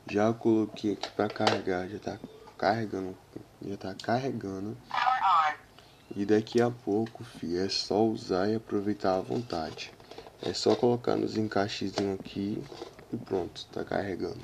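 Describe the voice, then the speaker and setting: A man's voice: fairly low pitch, fairly even intonation, normal speed, creaky. A Brazilian man giving a casual, slangy customer review on video, repeating himself as he goes. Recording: faint background noise, clicks.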